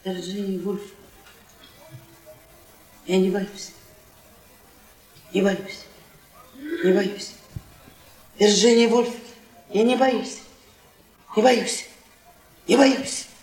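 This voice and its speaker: An actress playing a brash, taunting, domineering middle-aged wife in a theatrical radio play, shifting between cooing and scolding. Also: female voice, mid-range voice, steady tone, drawn-out words, pauses often, darkish tone, soft background music. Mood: tipsy singsong. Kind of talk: Russian radio theatre acting. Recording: echoey theatre stage recording.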